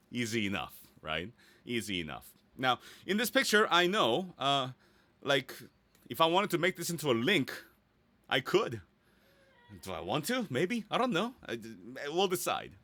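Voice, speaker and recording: A man's voice: mid-range voice, very animated, unhurried, long pauses, sharp tone, no room sound. A man teaching a casual live screen-share tutorial, chatty and playful, often checking in with his listeners. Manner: chatty, playful sound effects, checks in with "right?". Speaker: instructor, presenter.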